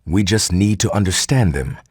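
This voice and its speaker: An actor playing a formal, articulate male geneticist recording an audio log for a game, measured and husky. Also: low-pitched, lively intonation, a little mellow, dry recording, clean studio sound. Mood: earnest, hopeful. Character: earnest male geneticist turning cold and militant.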